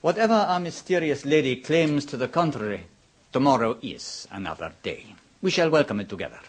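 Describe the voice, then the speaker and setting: An actor playing Luigi, a suave, worldly host who teases his guests in a smooth, theatrical manner. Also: mid-range voice, lively intonation, a little fast, a little hiss or hum, natural voice. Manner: smooth, teasing, theatrical with chuckles. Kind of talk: 1970s radio drama acting. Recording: old radio broadcast.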